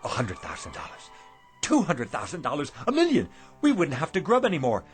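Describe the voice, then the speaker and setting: Struggling shopkeeper husband, world-weary and emphatic, very animated pitch, radio drama over faint music. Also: male voice, a little fast. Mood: excited, greedy.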